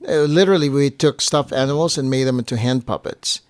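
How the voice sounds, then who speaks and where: A man co-hosting a casual explanatory chat, speaking haltingly with frequent pauses mid-phrase. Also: medium pitch, no room sound. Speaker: co-host and filmmaker.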